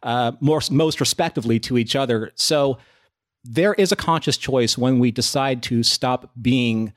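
A man speaking as a podcast panelist in a reflective discussion, earnest and philosophical, with measured phrasing. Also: mid-range voice.

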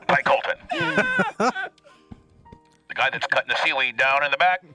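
A man's voice: mid-range voice, moderate pace, bright, forceful tone, soft background music. A man hosting a comedy radio show, teasing and ordering the others around like a ringleader.

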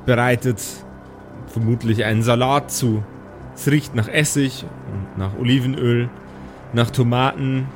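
A man's voice: medium pitch, unhurried, music in the background. A man narrating an improvised tabletop audio play as its game master.

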